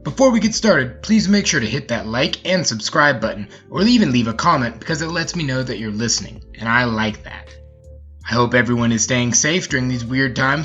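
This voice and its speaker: A man's voice: mid-range voice, a bit bright. Conversational male storyteller, slightly dull recording. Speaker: podcast host and storyteller. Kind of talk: casual introduction and storytelling narration.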